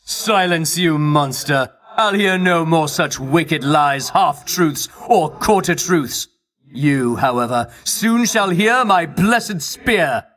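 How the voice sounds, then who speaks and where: An actor playing a pompous, self-righteous Greek champion in a video game, declaiming in grandiose archaic phrases with theatrical scorn. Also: male voice, fairly high pitch, expressive, takes it slowly, a bit bright. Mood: battle-ready, indignant. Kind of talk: video game character voice acting.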